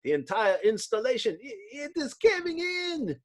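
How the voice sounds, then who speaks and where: A man's voice: very high-pitched, very animated, normal speed, pitched above the performer's own voice. A man voicing an alarmed French soldier in a comic book story, exclaiming melodramatically. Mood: panicked. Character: panicked French male soldiers in the desert.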